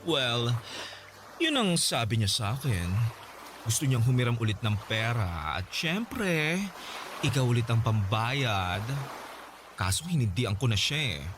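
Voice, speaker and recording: A man's voice: mid-range voice, sing-song, measured pace, background noise. A man playing a frank, flirtatious wealthy man in a Tagalog radio drama, teasing and drawling with bits of English mixed in. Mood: frank, advising. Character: frank, flirtatious wealthy man advising his paid lover.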